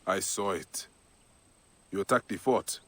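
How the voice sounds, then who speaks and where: An actor playing a grim, solemn Egyptian warrior in a video game cutscene. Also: male voice, low voice, unhurried, a bit bright, slightly rough, dry recording, full character voice. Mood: grim, resolute. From Siwa, Egypt (in-story). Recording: game music behind the voice.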